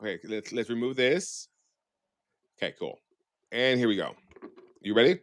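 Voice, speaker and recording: A man co-hosting a podcast, chatting in a sassy, slangy, animated way over a video call. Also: mid-range voice, moderate pace. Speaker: podcast co-host. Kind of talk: casual chat.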